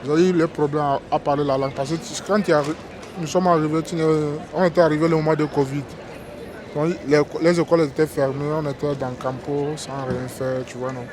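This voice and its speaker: A man from Togo with a fairly high voice talks through his story in a halting, roundabout way. He often breaks off, starts again and checks in with his listener. A lively crowd is heard around him on the street. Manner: halting, restarts, tag "tu vois, non?". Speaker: interview guest, migrant worker. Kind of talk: casual storytelling in an interview. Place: outdoors on a busy street.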